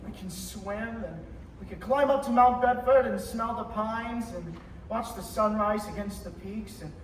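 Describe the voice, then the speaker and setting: A man's voice: high-pitched, measured pace. A young male actor playing an eager, restless small-town young man with big dreams, in a stage radio play. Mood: eager, dreamy. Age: teenager. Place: high school theater stage. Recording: roomy stage recording, distant mic, faint noise.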